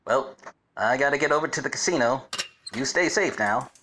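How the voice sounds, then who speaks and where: An actor playing a self-serious, obtuse private eye in a parody of 1940s detective radio plays, with melodramatic hard-boiled delivery. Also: male voice, mid-range voice, brisk, pushed and bright, a little hiss or hum, lightly acted. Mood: breezy, cocky. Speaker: narrator and lead of a radio play. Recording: music under the voice.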